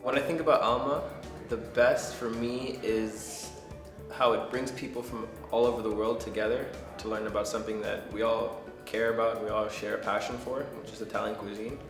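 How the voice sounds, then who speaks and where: Man, low gravelly earnest voice. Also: level tone, normal speed. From Jamaica. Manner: earnest, with frequent ums. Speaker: culinary student and chef. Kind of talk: interview testimonial. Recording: echoey room with background music.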